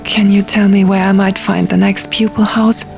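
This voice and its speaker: A woman's voice: medium pitch, level tone, normal speed, gravelly, a little mellow, close to an everyday voice. A woman voicing an earnest, self-doubting young female diviner in an audiobook, with a hesitant, plaintive delivery. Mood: anxious, polite. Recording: music behind the voice.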